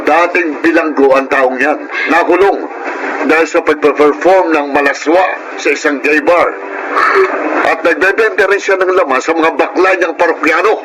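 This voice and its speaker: A man's voice: a little high, fairly even intonation, normal speed, background noise, close to an everyday voice. A man with a Filipino accent playing a jealous, domineering young villain in a melodramatic radio drama. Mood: sneering accusation. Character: aggressive, possessive young man, jealous spurned suitor and villain. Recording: loud music under the voice.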